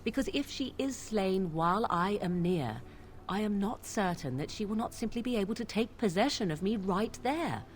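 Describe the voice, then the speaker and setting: Aloof young witch, voiced by a woman, formal phrasing, measured delivery, game dialogue, faint background hiss. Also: mid-range voice, lively intonation, natural voice. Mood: cool.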